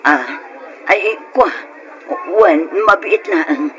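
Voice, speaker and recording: A woman's voice: low-pitched, expressive, extremely slow, dull audio, a crowd in the background, noisy background, full character voice. An actress playing a meek, grieving middle-aged widow and mother in an old-time Ilocano radio drama, plaintive and hesitant. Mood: subdued, hesitant.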